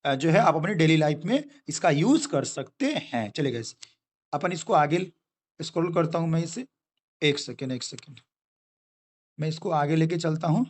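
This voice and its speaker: A young Indian man teaching spoken English. He explains patiently, keeps checking in with "okay guys", and stretches out each example sentence slowly. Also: fairly high pitch, expressive.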